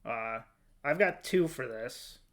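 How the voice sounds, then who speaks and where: A man's voice: medium pitch, expressive, slow pace, fairly bright tone. Casual man chatting on a podcast. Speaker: podcast host.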